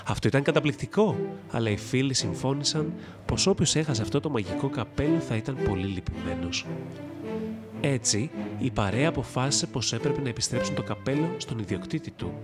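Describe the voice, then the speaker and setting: A man's voice: medium pitch, animated. A man reading scripted fairy-tale narration as a storybook narrator. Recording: music bed behind the voice. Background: instrumental music.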